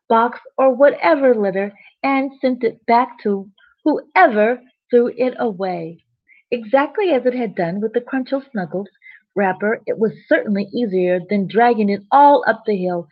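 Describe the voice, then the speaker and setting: A woman storyteller with a husky voice reads a children's bedtime story expressively, with chatty asides to young listeners. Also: a little high, lively intonation, measured pace. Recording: dull, lo-fi sound.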